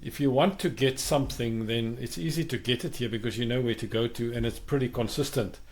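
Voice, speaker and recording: Thoughtful South African podcast host. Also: male voice, mid-range voice, fairly quick. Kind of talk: reflective podcast conversation.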